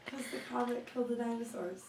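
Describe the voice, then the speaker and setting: A woman's voice: fairly high pitch, steady tone, quick pace, a little mellow. A young woman trading corny jokes during a puppet show in a casual, teasing, blunt way, with lots of giggling. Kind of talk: casual joke swapping. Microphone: far camera. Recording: roomy home video from a far camera, faint noise.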